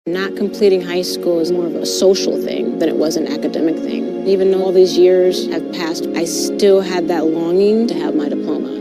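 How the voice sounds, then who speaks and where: Woman, testimonial, sincere, reflective, slightly low voice, loud music bed. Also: fairly even intonation, moderate pace, creaky, few pauses. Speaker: ad testimonial speaker. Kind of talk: personal testimonial.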